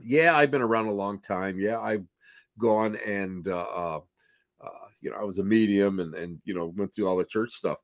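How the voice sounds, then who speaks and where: Slightly hoarse man hosting casually. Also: lowish voice, normal speed, dry recording, clean studio sound. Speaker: host of a paranormal talk show. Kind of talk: casual rambling show introduction.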